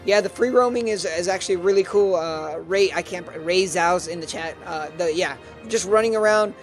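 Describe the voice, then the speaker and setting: A man's voice: high-pitched, moderate pace. A man hosting a live game stream, commenting on gameplay and hesitating often with fillers and restarts. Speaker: stream host. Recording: clear music underneath.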